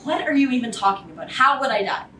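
A woman's voice: high voice, fast, bright, forceful tone. A young woman playing a dismissive, defiant schoolgirl in a comedy skit. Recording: roomy, distant mic, faint noise.

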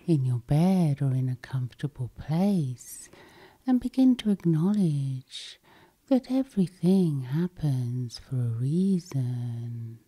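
Breathy, very mellow woman, sleep hypnosis guide. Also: deep voice. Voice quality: breathy, whispery. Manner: gentle, unhurried, calming. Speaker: hypnosis session host. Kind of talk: soothing sleep hypnosis guidance, ASMR.

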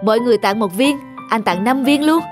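A teasing teenage older brother in a cartoon, played by a performer. He is dismissive and offhand, with lively intonation over music. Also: mid-range voice, fairly quick, lightly acted. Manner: mocking, offhand. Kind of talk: Vietnamese cartoon voice acting.

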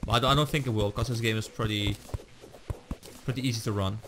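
A man's voice: mid-range voice, steady tone, quick pace, background noise. A man hosting a gaming video, chatting casually to viewers and his co-op partner as he plays. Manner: chatty, addresses viewers while playing. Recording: music playing behind the voice.

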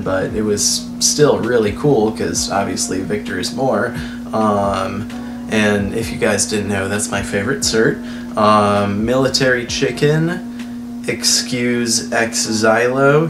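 Young man, hoarse and subdued, lowish voice, casual game commentary in a roomy space with background music. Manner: low-key, mumbling fillers, apologetic. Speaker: gaming YouTuber. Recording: roomy echo with music underneath.

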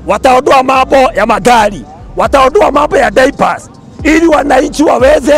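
A Kenyan man speaking as a spokesman at an outdoor street protest, forceful and shouting at times into a handheld mic. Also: very high-pitched, normal speed, gravelly, soft background music. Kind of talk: impassioned street speech. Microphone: handheld mic held up to him.

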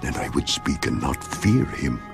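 A tormented man pleading with God, a very deep, gravelly voice that rises into anguished cries and sinks back to near whispers. He speaks slowly, with dramatic swings of pitch, over clear music in a scripture audio drama. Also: very animated, heavily acted. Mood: lamenting. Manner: anguished, swinging from hushed to crying out. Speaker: voice actor. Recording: with music underneath.

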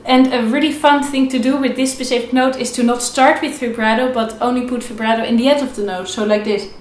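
A woman's voice: fairly high pitch, fairly even intonation, a little fast, soft background music. A young woman giving an informal, step-by-step instructional lesson as a violin teacher, repeating key points in an encouraging way. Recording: echoey room. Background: violin playing between phrases.

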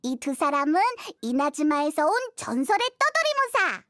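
A woman's voice: sits high, sing-song, normal speed, bright, forceful tone, no echo, studio quality, heavily acted. A woman voicing a tiny, chatty, childlike fairy companion in an anime game, eager and informal. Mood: eager, introducing. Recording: music behind the voice.

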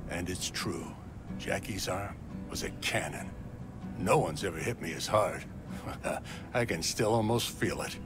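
An actor playing a gruff but sentimental boxer in a video game, giving a slow, deliberate tribute to a friend. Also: male voice, low-pitched, lively intonation. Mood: nostalgic. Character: tough male boxer eulogizing his friend, gruff, sentimental. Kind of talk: cinematic video game acting. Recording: music behind the voice.

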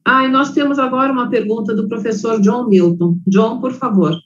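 A woman moderating an online panel, speaking in a measured, encouraging way. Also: a little high. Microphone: video call. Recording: dull lo-fi video call audio.

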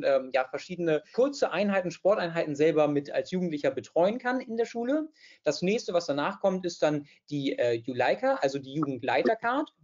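A man's voice: on the high side, darkish tone. A man in his twenties describing training courses on a video call, eager and filler-heavy. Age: 20s. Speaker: youth sports board deputy. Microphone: video call from home. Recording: dull lo-fi video call audio.

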